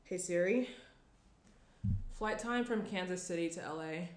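A woman's voice: on the low side, a little slow, close to an everyday voice. A young woman playing a flustered, distracted character, hesitant and apologetic, in a table read. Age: young adult. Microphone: desk microphone. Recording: roomy indoor echo.

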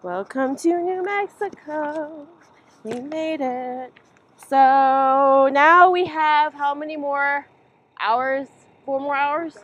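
A woman's voice: high voice, slow pace, a bit bright, highs cut off. A young woman chatting casually and informally in a rambling travel vlog, recorded on a camera held at arm's length. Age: young adult. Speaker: vlog host, filmmaker. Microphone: built-in camera mic at arm's length. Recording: noisy handheld amateur recording.

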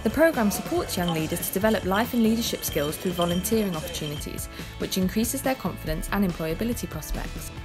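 A woman reading scripted documentary narration in a measured, clear way. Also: on the low side, animated. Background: background music.

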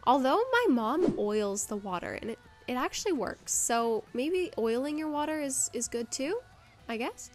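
A woman's voice: high voice, animated, normal speed, soft background music. A young woman talks in a bubbly, chatty way, her voice stuffy and nasal from a cold. She fills her sentences with "like" and sits at arm's length from a phone camera in her kitchen. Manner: chatty, bubbly, says "like" a lot. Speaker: vlogger. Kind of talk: casual voice-over narration. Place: home kitchen, indoors.

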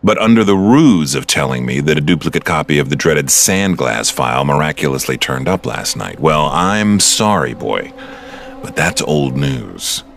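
Criminal mastermind, very deep, swooping intonation, smug, taunting, theatrical, audio drama. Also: male voice, lively intonation, fairly bright tone, heavily acted. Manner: suave, condescending, theatrical, drawn-out words.

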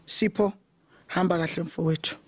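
A middle-aged woman with a South African accent giving a loud, conversational funeral tribute at a podium mic under an open thatched shelter, switching between English and Zulu. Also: lowish voice, steady tone, normal speed, husky. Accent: South African, mixing Zulu. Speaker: eulogy speaker, committee chair.

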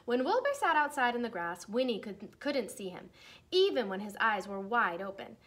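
A young woman reads a children's picture book aloud in an expressive, deliberate way for young listeners. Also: on the high side. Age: young adult. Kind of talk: storybook read-aloud. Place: small decorated room indoors.

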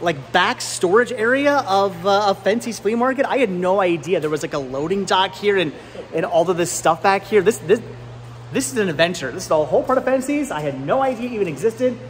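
A man in his thirties hosting a casual vlog, chatting enthusiastically and rambling with halting, repeated words. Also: high voice, animated, slight background noise. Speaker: vlog host. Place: large indoor flea market and warehouse loading area. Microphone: handheld camera at arm's length.

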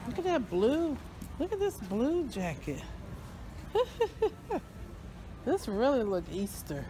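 A woman's voice: fairly high pitch, wildly swooping pitch, slow pace. A middle-aged American woman chatting casually as she vlogs on a handheld phone. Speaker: vlogger. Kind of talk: casual vlog chat. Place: busy shopping mall food court and store. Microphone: handheld phone at arm's length. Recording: phone recording with music behind.

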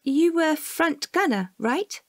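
A little british kid, curious and keen, high bouncy voice, dry sound, radio play. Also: female voice, animated, slow, dry recording. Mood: inquisitive. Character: curious, eager young British child questioning Daddy. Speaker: actor. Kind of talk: radio drama dialogue.

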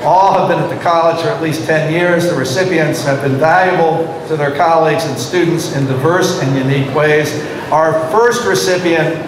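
A middle-aged man giving a formal, measured award presentation at a podium microphone during a graduation ceremony in a large auditorium. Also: a little high. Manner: measured, reads from prepared remarks. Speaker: college official presenting awards. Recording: echoey hall with crowd and noise.

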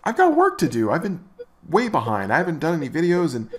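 A man's voice: on the high side, wildly swooping pitch, fast. A man hosting a comedic commentary video, sarcastic and exasperated as he rants. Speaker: host.

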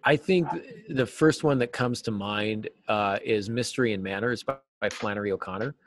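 A middle-aged American man giving informal, halting explanations as an interview guest on a video call. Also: fairly low pitch, slight background noise. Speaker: interview guest, novelist and writing teacher. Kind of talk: casual interview answers. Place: small cluttered office, on a video call.

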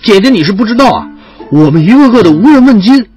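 An actor playing a cheeky, doting teenage student in an audio drama, boisterous and exaggerated in manner. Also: male voice, high voice, big pitch swings, fast, a little mellow, soft background music. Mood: boisterous, indignant. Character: excitable, cheeky teenage boy student, doting younger brother.

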